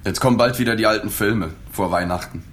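An actor playing a reserved journalist who narrates a radio drama in the first person, restrained and matter-of-fact. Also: male voice, lowish voice, quick pace, fairly bright tone, slight background noise, lightly acted. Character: reserved, observant male journalist recounting a sad story.

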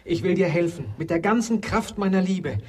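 An actor playing an anguished, tender man trapped in a dark crypt, in a theatrical and halting German radio drama style. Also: sits high. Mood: pleading resolve. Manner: theatrical, intense, halting delivery.

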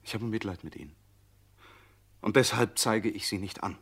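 An actor playing a calm, insinuating eyewitness in a crime radio drama, speaking in a measured, deliberate way. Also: male voice, lowish voice, breathy edge. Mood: grave, insinuating. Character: calm, insinuating male eyewitness Gachet confronting a suspect.

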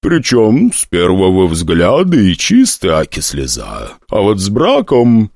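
A crude, grubby woman of the mountains drawls her words slowly, grumbling in a coarse, odd-sounding voice that differs from her reader's usual tone, as a man puts her on. She comes across as earthy and blunt in this fantasy audiobook. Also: low voice, wildly swooping pitch, gravelly, a little mellow, no echo, studio quality, full character voice.

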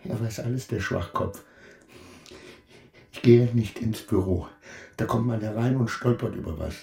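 An actor playing an anxious, self-pitying clerk who mutters agitatedly to himself in a radio play, swinging between dread and defiance. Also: male voice, fairly low pitch, a little slow, creaky, dark tone, breathy edge, soft background music, exaggerated voice. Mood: sullen, self-pitying. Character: anxious, self-pitying male clerk, brooding over his double.

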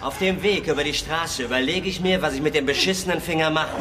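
An actor playing a cool, menacing kitchen worker in a crime radio drama, talking in deliberate, intimidating street slang. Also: male voice, fairly high pitch, noisy background, close to an everyday voice. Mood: menacing, controlled.

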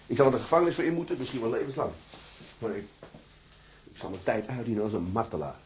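A man's voice: medium pitch, big pitch swings, fast talker, darkish tone, a little hiss or hum, close to an everyday voice. An actor playing an imprisoned seaman, a righteous and obsessive self-styled martyr, in a vehement, declamatory drama style. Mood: fervent. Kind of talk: dramatized radio or audio drama acting.

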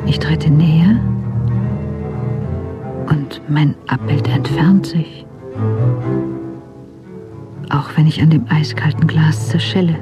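An actress playing a haunted, introspective woman in a poetic radio drama monologue, breathy and half-whispered. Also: low voice, fairly even intonation, slow, pauses often, over loud music. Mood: haunted, solemn.

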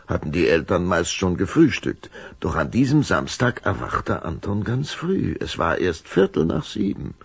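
Deep gravelly man narrating a story. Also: no room sound. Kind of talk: scripted audio drama narration for children.

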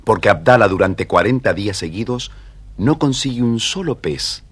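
A man narrating a scripted children's story in a measured, dramatic manner. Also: fairly low pitch, expressive, slightly rough, dry recording.

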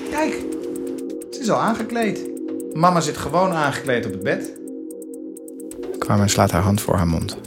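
A man's voice: on the high side, huge pitch swings, normal speed, long pauses. An actor playing a blunt, sardonic young husband and father who narrates a radio drama. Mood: tender. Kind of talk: first-person radio drama narration and dialogue. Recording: radio drama mixed with prominent music. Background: music.

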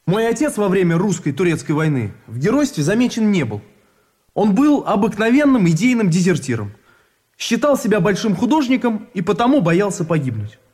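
A man's voice: high-pitched, big pitch swings, fairly quick, darkish tone. An actor playing a bitter, sardonic junior officer in his twenties, aggrieved at his fate, in a radio play. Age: 20s. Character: bitter young non-commissioned officer facing execution.

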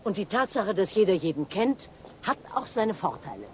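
An actress playing a distracted, lovestruck police inspector in a naturalistic radio drama, with a hesitant, dry delivery. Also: female voice, mid-range voice, big pitch swings, moderate pace, slight background noise, lightly acted. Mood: fond, relaxed.

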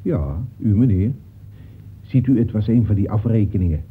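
A deferential, talkative police officer from an old radio play, acted by a man. He speaks fairly low in roundabout official phrases. The recording is narrow, with light noise. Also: animated, normal speed, lightly acted. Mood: chatty, matter-of-fact. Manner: roundabout, official, punctuates with polite address. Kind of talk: Dutch radio play acting.

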